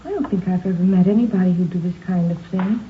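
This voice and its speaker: A woman's voice: lowish voice, fast, close to an everyday voice. A woman playing an anxious, earnest young churchgoer under threat in a radio drama, speaking in a fretful, pleading way. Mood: troubled. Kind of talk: 1950s radio drama acting. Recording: tinny, noisy old radio recording.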